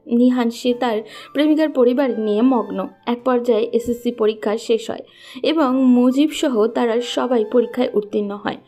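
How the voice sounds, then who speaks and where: Female story narrator: scripted delivery, dark soft timbre, slightly high pitch, faint music bed.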